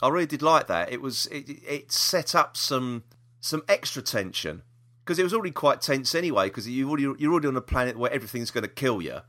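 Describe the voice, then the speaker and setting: A man's voice: mid-range voice, animated, quick pace, bright, forceful tone. A man chatting casually as a podcast co-host, enthusiastic and repeating himself for emphasis. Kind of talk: casual review chat about audio dramas.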